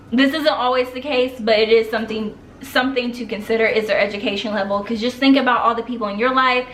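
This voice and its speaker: A woman's voice: a little high, fairly bright tone, echoey room, slight background noise. A young woman giving casual writing advice in a chatty, loose way, full of fillers and restarts. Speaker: vlogger giving writing tips.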